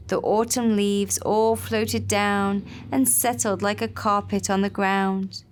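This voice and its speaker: A woman narrating a children's puppet-show story in a gentle, unhurried storybook manner. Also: medium pitch, smooth.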